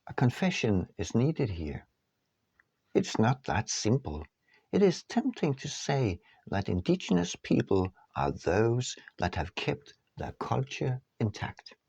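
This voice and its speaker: A man reading his own book aloud as scripted audiobook narration, in measured, deliberate phrases. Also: medium pitch, big pitch swings, vocal fry, darkish tone, husky, no echo.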